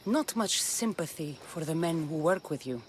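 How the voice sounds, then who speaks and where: A woman's voice: low-pitched, animated, moderate pace. An actress playing a wary, blunt ancient Greek mercenary woman in a video game cutscene. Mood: wary, challenging. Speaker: lead game character. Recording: noisy outdoor ambience, chirping insects.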